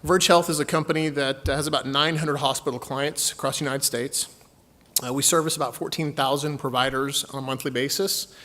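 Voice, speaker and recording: A man's voice: mid-range voice, steady tone, fairly quick, bright, forceful tone. A man from Texas with a light Texan accent, giving a chatty, joking conference presentation to a session audience. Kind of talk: conference talk.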